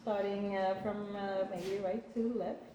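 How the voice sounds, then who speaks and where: Greek woman moderating a live panel, halting and giggly, roomy sound. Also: mid-range voice, steady tone, highs cut off. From Greece. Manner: hesitant, laughing, many fillers. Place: conference room. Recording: echoey room, faint noise.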